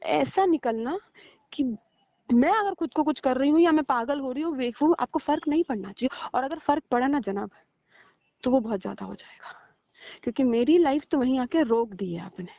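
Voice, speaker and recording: A woman's voice: high voice, animated, moderate pace, vocal fry, dry recording. A woman arguing heatedly with a partner on a recorded phone call, scolding and sarcastic, slipping English words into her talk. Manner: mixes in English words and phrases.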